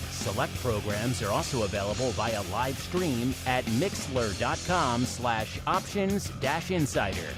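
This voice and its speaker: Male announcer over loud guitar music. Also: mid-range voice. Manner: smooth promotional read. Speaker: network announcer. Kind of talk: scripted announcement.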